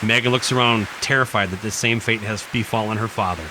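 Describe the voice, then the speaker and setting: A man's voice: fairly low pitch, fairly even intonation, brisk, background noise. A man reading a horror radio play as narrator, in a suspenseful, theatrical style. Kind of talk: dramatic scripted horror narration. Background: prominent music.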